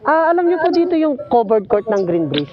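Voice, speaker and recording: A man's voice: very high-pitched, big pitch swings, brisk, crowd noise. A Filipino man chatting casually and teasingly as a motorcycle taxi rider vlogging on the road. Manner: playful, teasing. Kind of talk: casual joking chat. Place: outdoors, riding a motorcycle. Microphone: helmet intercom headset. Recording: dull helmet intercom, loud road noise. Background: vehicle and road noise.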